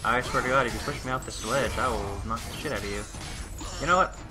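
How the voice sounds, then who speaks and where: A man's voice: medium pitch, quick pace. A man playing through a game and commenting on it, exasperated and swearing at enemies, talking to them as he fights. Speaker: let's play commentator. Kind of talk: gameplay commentary. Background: game music and sound effects.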